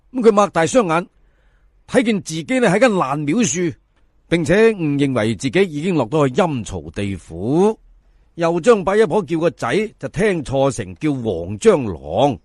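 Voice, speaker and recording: A male Cantonese storyteller narrates colloquially to his listeners. His voice sits a little high and moves up and down a great deal, with theatrical emphasis. The recording is close and dry. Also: dry recording. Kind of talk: colloquial folk-tale narration.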